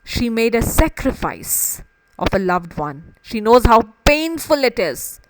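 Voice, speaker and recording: A woman's voice: fairly high pitch, very animated, measured pace, no room sound, low-quality recording. A woman literature teacher explaining a play in an emphatic way, often repeating and rephrasing phrases to stress them.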